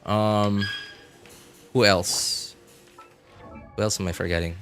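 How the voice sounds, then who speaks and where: A man chatting casually as he streams a game, rambling and halting as he hunts for words in a self-deprecating way. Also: on the low side, steady tone, a bit bright, faint music behind. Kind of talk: casual livestream chat while gaming.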